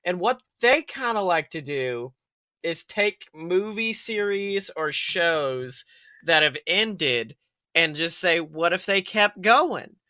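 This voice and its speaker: A man's voice: high-pitched, animated, slow, pushed and bright, no echo. A man co-hosting a podcast with casual comedic banter, rambling and exclamatory. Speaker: podcast co-host.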